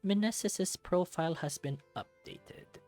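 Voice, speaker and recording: A person reading a visual novel aloud and commenting casually, thinking out loud in a hesitant, reactive way. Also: medium pitch, measured pace. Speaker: let's play narrator and commentator. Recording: background music behind the voice.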